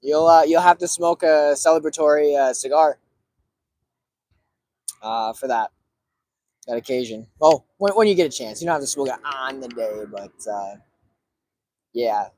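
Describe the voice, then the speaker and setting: A man in his early thirties talking casually to viewers, relaxed and rambling with lots of fillers. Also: medium pitch, moderate pace, long pauses, no room sound. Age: 30s. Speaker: cigar streamer.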